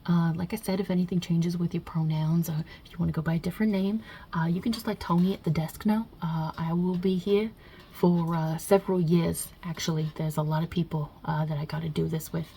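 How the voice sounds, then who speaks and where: A woman's voice: fairly low pitch, fairly even intonation, a little fast, gravelly, mellow, a little hiss or hum. A woman voicing God as a brash, short-tempered, non-binary Italian mob boss in an ASMR roleplay, with a put-on Italian-New York accent and a blunt, chummy, rambling delivery. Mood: casual. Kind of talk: ASMR roleplay interview, improvised.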